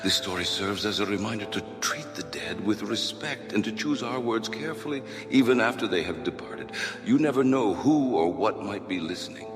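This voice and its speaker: An actor playing a ghostly male storyteller, solemn and folksy, telling spooky tales in measured theatrical style for an audio drama. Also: lowish voice, lightly acted. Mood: solemn warning. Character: male ghostly storyteller guest in a haunted estate. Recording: reverberant hall with music behind.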